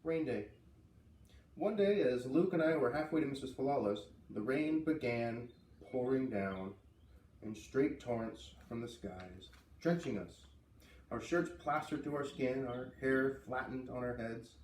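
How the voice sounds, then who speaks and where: A man's voice: mid-range voice, a little slow, darkish tone. Man reading a storybook aloud, echoey room. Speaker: storybook reader. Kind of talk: expressive children's book read-aloud. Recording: roomy, slightly distant, faint background noise.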